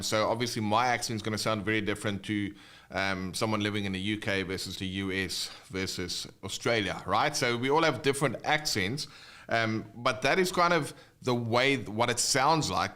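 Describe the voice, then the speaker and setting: Male guitar tutor, South African accent, English second language, smooth clear voice at a middling pitch, informal livestream teaching. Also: sharp tone. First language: not English (English is second language). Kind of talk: casual live online lesson, chatting with viewers.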